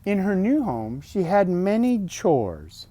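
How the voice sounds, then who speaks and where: Male, children's story read-aloud, unhurried pace, soft-edged mellow tone, expressive phrasing, drawn-out words, casual asides. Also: high voice, dry recording. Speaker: storybook reader.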